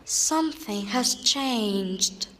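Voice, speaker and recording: Poetic female storyteller, high voice, slow deliberate pace, scripted audio drama narration. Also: expressive, fairly bright tone, a little hiss or hum, heavily acted, rough recording. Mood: wondering, hushed. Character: lyrical female storyteller of a fairy-tale adventure.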